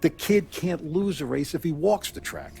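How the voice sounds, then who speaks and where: A shrewd mob boss who lays out a plan with measured authority. Music plays under the game cutscene. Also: male voice, fairly high pitch, lively intonation, gravelly, a little mellow.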